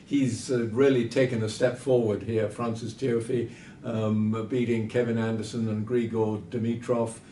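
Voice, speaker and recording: A man giving off-the-cuff tennis analysis, stating emphatic opinions in measured short phrases. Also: little pitch movement, warm tone. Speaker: tennis pundit. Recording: roomy, distant mic with background rumble.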